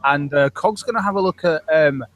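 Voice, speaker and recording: A man's voice: on the high side, fairly quick, a bit bright. A young man with a Manchester accent, chatting casually on a group call and rambling crudely with lots of fillers and restarts. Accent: Manchester English. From England. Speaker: panel guest.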